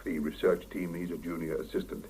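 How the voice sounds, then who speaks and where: An actor playing a stern middle-aged boss in a 1970s radio drama, clipped and authoritative. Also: male voice, low voice, flat delivery, a little fast, warm tone, a little hiss or hum, close to an everyday voice. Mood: measured, formal. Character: stern middle-aged male laboratory boss, brisk and exacting. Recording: muffled 1975 radio drama cassette recording.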